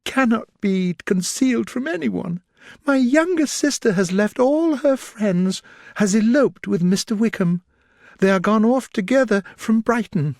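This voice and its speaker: A man reading aloud, voicing a distraught young gentlewoman with an urgent, pleading, high and breaking voice. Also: big pitch swings, a little slow, no room sound, clean studio sound, full character voice. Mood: anguished.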